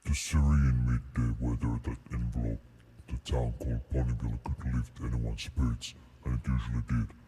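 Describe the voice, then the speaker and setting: Menacing demon storyteller, huge pitched-down voice with bass boost and effects, dark and very monotone. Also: male voice, slightly rough, soft background music, full character voice. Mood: solemn, menacing. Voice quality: pitched-down, heavy, booming low end. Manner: measured storybook reading. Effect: pitch shifter, bass boost, compression, reverb.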